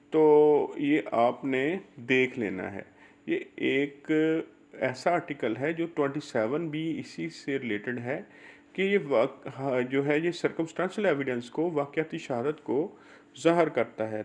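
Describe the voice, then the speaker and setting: A man with a Pakistani accent giving an explanatory law lecture, reading and explaining in a halting way, repeating and rephrasing key phrases. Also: medium pitch.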